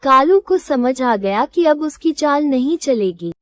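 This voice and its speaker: A woman reading a scripted children's moral story as storyteller, in a measured, instructive manner. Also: high voice, animated, no echo.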